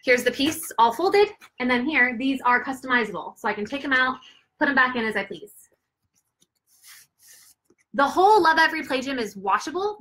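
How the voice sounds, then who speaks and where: A young woman with a bright, forceful voice pitched a little high, talking chattily to camera as she demonstrates baby gear. She sounds upbeat and loose, with plenty of fillers. It is recorded from a few feet away on a living room floor. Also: rough recording. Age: young adult. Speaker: product reviewer and mother. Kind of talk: casual product demo to camera.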